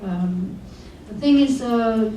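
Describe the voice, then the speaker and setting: A woman's voice: on the high side, slow pace, mellow, slight background noise. Woman from France, hesitant, distant echo. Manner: hesitant, many fillers. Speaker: panelist. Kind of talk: panel discussion. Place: conference room. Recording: echoey room, voice from far off.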